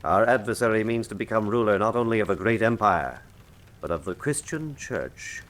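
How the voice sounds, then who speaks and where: Deep, worldly cardinal, animated delivery. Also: male voice. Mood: grave. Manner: measured, dignified, dramatic storytelling. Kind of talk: full-cast radio drama acting.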